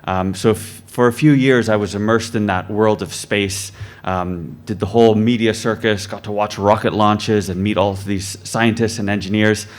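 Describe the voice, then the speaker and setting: Low-voiced man giving a short talk. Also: normal speed. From born in the UK, raised in many countries. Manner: relaxed and earnest, with frequent ums and uhs. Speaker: photographer and filmmaker. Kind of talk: short self-introduction speech. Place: club event hall, talking at a mic.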